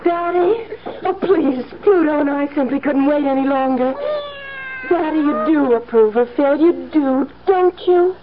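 A woman's voice: high-pitched, a little slow. An actress playing a doting, naive rich wife in a 1970s radio drama, girlishly sweet, cooing and earnestly coaxing. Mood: pleading. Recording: dull, hissy 1970s radio broadcast.

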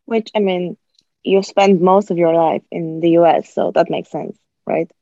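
A woman hosting a podcast interview, asking thoughtful questions in a measured way. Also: fairly low pitch, steady tone, vocal fry, warm tone, dry recording, highs cut off. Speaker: podcast host. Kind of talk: interview chat.

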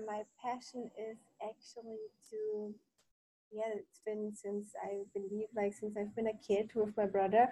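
A woman in her thirties from Poland speaks slowly and hesitantly, full of ums and restarts. Her voice is mellow and a little husky, and the sound is dry. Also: on the high side, no echo, poor audio. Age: 30s. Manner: hesitant, many ums, self-correcting. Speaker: podcast guest. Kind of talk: interview answers.

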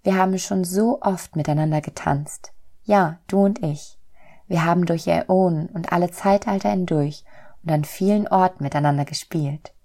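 A woman voicing a kindly, loving soul in a storybook, gentle, reassuring and earnest. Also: lowish voice, moderate pace. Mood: tender, earnest.

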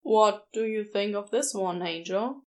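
Woman reading as an awkward, wry, lower-voiced man. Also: a little mellow, close to an everyday voice. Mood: casual. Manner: halting, blunt, awkward. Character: awkward, self-conscious florist man, wry and apologetic. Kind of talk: audiobook character dialogue.

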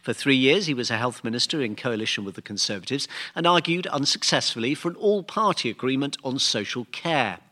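Bright-voiced British man hosting, drily wry. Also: mid-range voice, animated, a little fast, hardly any pauses. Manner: dry, wry humour. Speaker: debate show host. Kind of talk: scripted panel introductions with wry asides. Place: theatre stage before a live audience.

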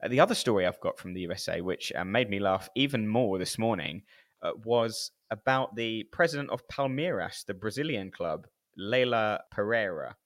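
Lowish-voiced man chatting as podcast co-host. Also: lively intonation, normal speed. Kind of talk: casual chat and storytelling.

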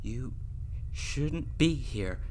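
A man's voice: medium pitch, animated, very slow, a little mellow, slight background noise, pitched above the performer's own voice. A man voicing a terrified, grieving son who cries out and pleads in a horror audio story. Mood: frightened. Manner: crying out, pleading.

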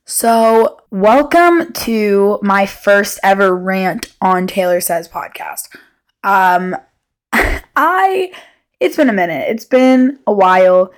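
A young woman, about twenty, hosts a casual solo podcast. Her voice sits at an average pitch for a woman. She speaks candidly and without a script, rambling and breaking off to rephrase her own sentences. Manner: rambling, self-interrupting, candid. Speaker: podcast host.

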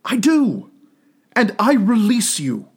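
A sorrowful young woman speaks gently but firmly, as a male storyteller puts her on. Her tone is soft and pleading, lifted higher than plain speech and swinging widely in pitch. The voice has a husky edge. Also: wildly swooping pitch, takes it slowly, exaggerated voice. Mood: tender.

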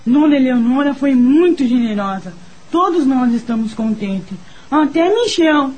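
Casting: timid, hopeful Brazilian country girl in radio serial, very high-pitched voice. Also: animated, a little slow, a little mellow, low-quality recording. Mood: confiding. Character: anxious but hopeful young female villager. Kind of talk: Brazilian radio soap opera acting.

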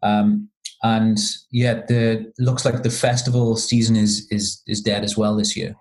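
A man's voice: lowish voice, flat delivery, unhurried, darkish tone, low-quality recording. A man from Wales, a podcast guest talking casually and hesitantly with many ums and restarts. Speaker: podcast guest, marketing consultant.

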